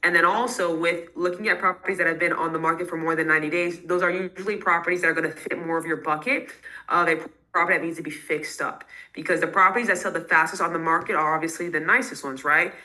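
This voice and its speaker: Woman investor fielding call-in questions: bright, slightly low voice, casual, filler-heavy. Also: steady tone, low-quality recording. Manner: fast, casual advice with many fillers. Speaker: real estate investor answering questions. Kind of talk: live Q&A call.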